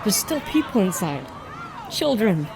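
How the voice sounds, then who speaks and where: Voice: medium pitch, very animated, unhurried. An actor playing a frightened, faltering young department store employee in an audio drama. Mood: panicked. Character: panicked young department store staff man. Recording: loud crowd and street noise over voice. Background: panicking crowd on a street.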